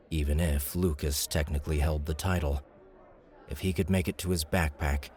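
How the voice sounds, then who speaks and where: A man's voice: sits low, darkish tone. A man narrating a fantasy audiobook as a scripted read.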